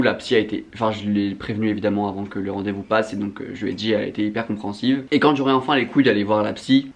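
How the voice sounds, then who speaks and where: Fast-talking young Frenchman, slightly low voice. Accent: French from France. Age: young adult. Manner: candid, self-mocking, slangy. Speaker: vlogger. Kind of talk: casual confessional vlog to viewers.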